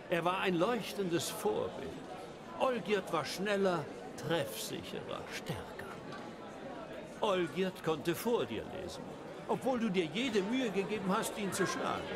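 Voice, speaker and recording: A high, swooping male voice belongs to a smug, sly stranger in a game drama. He speaks with silky, taunting theatricality. A loud crowd chatters behind him.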